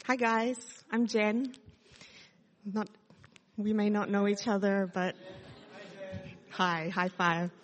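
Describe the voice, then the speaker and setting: A woman's voice: mid-range voice, level tone, takes it slowly, long pauses. Australian woman, chatty church testimony. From Sydney, Australia. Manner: chatty, many fillers, laughs easily. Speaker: church speaker sharing testimony. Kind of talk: casual testimony talk to a congregation. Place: church hall. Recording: long hall reverb.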